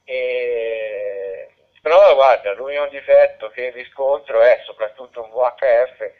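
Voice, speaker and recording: A man's voice: mid-range voice, unhurried. A man, a radio amateur chatting casually over the air in a rambling way, repeating words as he goes. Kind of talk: casual radio contact chat. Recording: muffled narrowband digital two-way radio.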